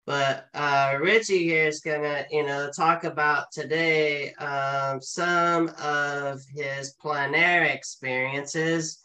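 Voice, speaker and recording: A man's voice: fairly high pitch, steady tone, bright, forceful tone. Man, 40s, host, enthusiastic intro and questions, webcam on a video call, indoor room. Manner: enthusiastic, quick, with fillers. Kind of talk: upbeat show intro and interview.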